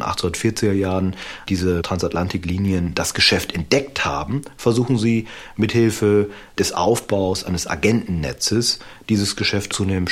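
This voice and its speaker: A man giving explanatory interview answers as an expert, in measured academic phrasing. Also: lowish voice.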